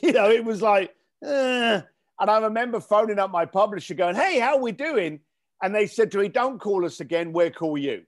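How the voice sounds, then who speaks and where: A high-pitched man speaks as an interview guest, telling his story with quoted back-and-forths. He stresses points by repeating them. His pace is conversational. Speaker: guest, author and business owner. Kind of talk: casual interview.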